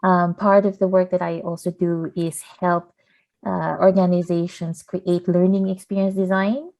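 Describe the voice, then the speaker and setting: A woman from the Philippines introducing her work in an online workshop over a video call, soft-spoken and hesitant with many uhs. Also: lowish voice, little pitch movement, measured pace, vocal fry. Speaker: community designer.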